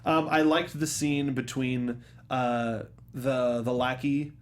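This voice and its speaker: A man hosting a casual panel discussion, speaking in a halting, self-interrupting way. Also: mid-range voice, steady tone. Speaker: host of a film review panel show.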